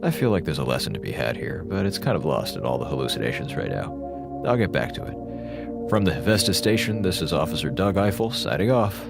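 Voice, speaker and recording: A man's voice: extremely low pitch, big pitch swings, a little fast, vocal fry, loud music behind the voice, heavily acted. An actor playing a wry, jittery male space station officer in a radio drama, rambling informally and stammering. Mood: sheepish, wry.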